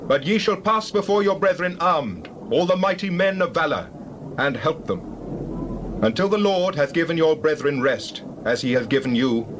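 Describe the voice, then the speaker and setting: An earnest, commanding Israelite leader acted by a man delivers deliberate orders in a biblical audio drama. His voice sits slightly high and declamatory, with faint noise behind it. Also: close to an everyday voice.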